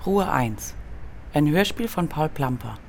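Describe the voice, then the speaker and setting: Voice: medium pitch, animated, a little slow, vocal fry, noisy background. An announcer reading scripted radio drama credits as a plain list of title and names.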